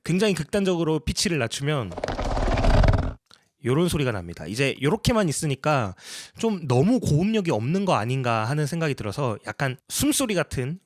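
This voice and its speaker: A man narrating a casual tutorial as a sound designer, explaining each step politely. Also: mid-range voice.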